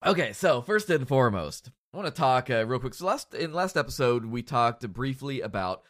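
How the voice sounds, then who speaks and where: A man's voice: medium pitch, sing-song, moderate pace, a bit bright, dry recording. A man hosting a casual podcast, stuffy and nasal from a cold, rambling and joking at his own expense. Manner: rambling, self-deprecating, joking. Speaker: podcast host.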